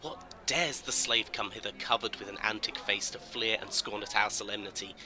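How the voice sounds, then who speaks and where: Man acting a low-voiced seething young nobleman. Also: normal speed, close to an everyday voice. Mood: seething, scornful. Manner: scornful, seething. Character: hot-headed, vengeful young nobleman villain. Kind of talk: Shakespearean audio drama. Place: home recording. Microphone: phone.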